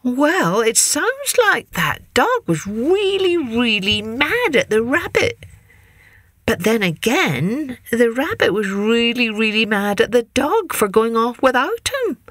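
A woman's voice: on the high side, sing-song, takes it slowly, fairly bright tone, no room sound. A woman telling a children's story with dramatic, emphatic expression, as a podcast host.

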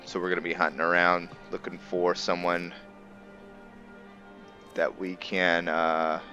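Deep-voiced man, halting gameplay commentary. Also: monotone, pushed and bright, highs cut off. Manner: halting, thinking aloud. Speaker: host of a video game roleplay series. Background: game music.

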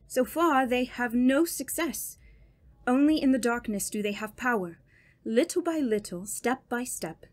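A woman playing a grave, authoritative doctor of the supernatural in a horror radio drama, speaking deliberately and giving clipped orders. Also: a little high, a little mellow. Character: commanding, grave female doctor investigating the supernatural. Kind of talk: old-time radio horror drama acting.

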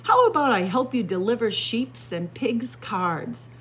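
A woman's voice: a little high, very animated, a little slow, slight background noise. A woman voicing a kindly, helpful female cow in a children's storybook, in a reassuring manner. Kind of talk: children's storybook character reading.

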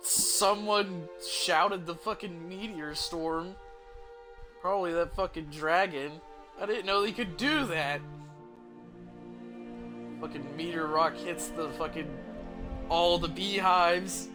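A man's voice: high-pitched, slow pace, long pauses. A young man giving casual, informal gameplay commentary as a streamer, thinking aloud. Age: young adult. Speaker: game streamer. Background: game music.

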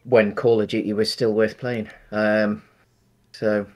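Low-voiced man, halting casual chat. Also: level tone, darkish tone. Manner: rambling, many ums and restarts. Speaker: podcast co-host. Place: home room, video call.

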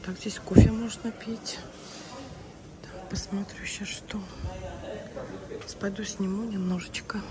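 A woman with a cold and a hoarse voice, chatting casually to viewers as she films a hotel buffet on her phone. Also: mid-range voice, steady tone, a little slow, long pauses, a loud crowd, low-quality recording. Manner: chatty, talks to viewers while walking a buffet. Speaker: vlogger. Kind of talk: casual vlog narration. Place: hotel restaurant buffet, indoors.

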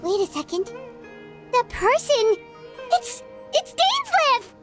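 A woman voicing a tiny, childlike, excitable fairy companion in an anime-style video game, squeaky and expressive. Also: takes it slowly, faint music behind, exaggerated voice. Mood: startled, exclaiming. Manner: exclamatory, expressive.

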